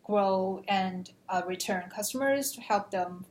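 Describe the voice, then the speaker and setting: Young woman from china, native chinese speaker, earnest, measured answers, faint background noise. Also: medium pitch. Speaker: graduate student. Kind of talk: interview answers.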